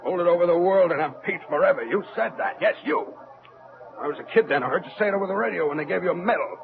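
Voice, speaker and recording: A man's voice: high voice, lively intonation, quick pace, gravelly. An actor playing an agitated military man in an old-time radio drama, with an urgent, demanding, emotional delivery. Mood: angry, accusing. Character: agitated, accusing military man, younger than the scientist. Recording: tinny, band-limited old radio recording.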